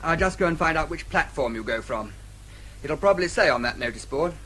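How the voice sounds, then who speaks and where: An actor playing a brisk, avuncular Englishman who takes charge in a radio drama, with a British accent. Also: a little high, expressive, sharp tone. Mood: concerned. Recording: noisy radio drama with vehicle sounds.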